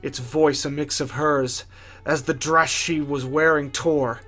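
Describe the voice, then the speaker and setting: A man narrating a first-person horror story in a theatrical way, with deliberate pauses. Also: on the high side, fairly even intonation, a bit bright. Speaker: writer and narrator. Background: music.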